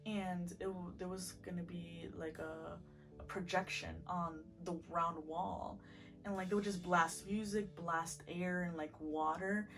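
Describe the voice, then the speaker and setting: A woman's voice: on the low side, steady tone, measured pace, a bit bright. A twenty-one-year-old woman in a casual interview, talking loosely with lots of "like" fillers. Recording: distant mic with music bed.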